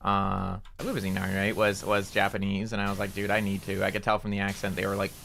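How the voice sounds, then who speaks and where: Rambling low-voiced bright streamer man. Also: completely flat delivery, quick pace, vocal fry, a little hiss or hum. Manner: rambling, loose talk full of "like" fillers. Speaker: VTuber streamer. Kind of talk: casual stream chat while playing a game.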